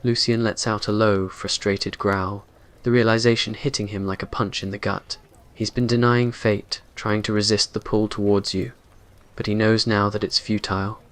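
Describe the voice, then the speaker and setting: A man's voice: lowish voice, little pitch movement, normal speed. A man reading scripted romantic roleplay narration in an ASMR story style.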